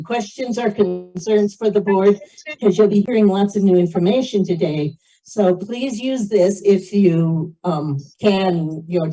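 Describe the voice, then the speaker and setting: Woman with a soft, mellow tone. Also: fairly low pitch, husky, rough recording. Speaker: meeting organizer giving instructions. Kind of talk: informal announcements at a community meeting.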